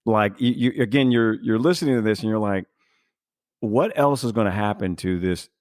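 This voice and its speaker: A man's voice: fairly low pitch, moderate pace, a little mellow, no echo, studio quality. A man hosting a podcast in casual chat, rambling with many fillers and restarts as he reads and reacts. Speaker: podcast host.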